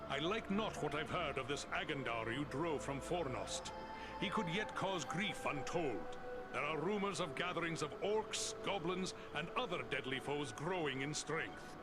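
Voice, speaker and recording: An actor playing a proud, loyal older dwarf warrior in a video game, speaking in an earnest, archaic way. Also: male voice, fairly high pitch, expressive, normal speed, over loud music, natural voice. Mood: grave, resolute. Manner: earnest, formal, archaic speech. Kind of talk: video game cutscene dialogue.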